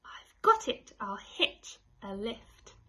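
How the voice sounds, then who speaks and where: A woman's voice: mid-range voice, big pitch swings, slow pace, sharp tone, full character voice. A woman voicing a tiny female sea snail in a storybook, dreamy and eager, with an earnest, wistful delivery. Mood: excited.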